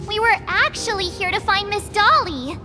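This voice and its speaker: A woman's voice: very high voice, animated, normal speed, big-room sound, over music. A woman playing a cute, forgetful, easily flustered schoolgirl in an anime-style game, hesitant and exclaiming. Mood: puzzled.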